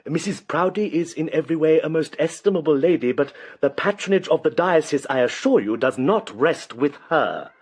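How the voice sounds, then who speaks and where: An actor with an English accent playing an oily, self-important chaplain in a period radio drama, with formal, pedantic speech. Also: male voice, on the high side, lively intonation, normal speed, few pauses. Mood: condescending, unctuous. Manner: smooth-mannered, pedantic, formal.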